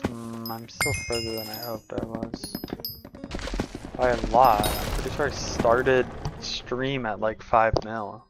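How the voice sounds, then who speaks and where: A man chatting casually on a gaming livestream, tired, with a deep voice and a rambling way of talking full of fillers. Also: takes it slowly. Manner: relaxed, rambling, lots of "like" fillers. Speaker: streamer. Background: background music.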